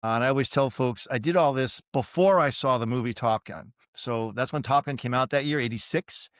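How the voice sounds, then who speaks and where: Boston man in his fifties, smooth and dry-sounding, relaxed storyteller full of ums and asides. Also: mid-range voice, fairly quick, no echo. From suburban Boston, USA. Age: 50s. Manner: easygoing, self-deprecating, many fillers. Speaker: podcast guest, retired Navy pilot. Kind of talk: casual interview storytelling.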